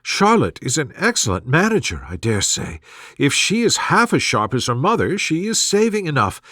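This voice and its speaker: A resentful, gossipy middle-aged mother from a classic storybook, played by a man. The voice is pitched high and swings about in exclamations and complaints. It is recorded close and dry. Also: huge pitch swings, moderate pace, bright, forceful tone, dry recording, exaggerated voice. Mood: resentful, sniping.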